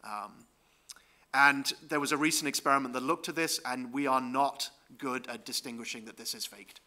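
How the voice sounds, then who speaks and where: A man's voice: medium pitch, moderate pace, pushed and bright. A man giving a conference talk over slides, explaining step by step with frequent ums and "right?" checks. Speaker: conference presenter.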